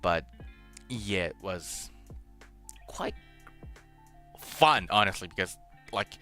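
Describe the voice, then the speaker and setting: A man hosting a casual streamed chat, halting as he searches for words in a language that is not his native Japanese, sometimes switching to Spanish. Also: low-pitched, pushed and bright. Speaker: host of a streamed radio show. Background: music.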